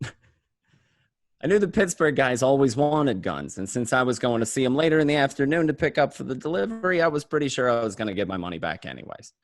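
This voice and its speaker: A man's voice: mid-range voice, very animated, fairly quick. A man playing a paranoid, streetwise gangster narrating his day in a radio play, talking in a coarse, conversational way. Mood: matter-of-fact recounting. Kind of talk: first-person crime narration in a radio play.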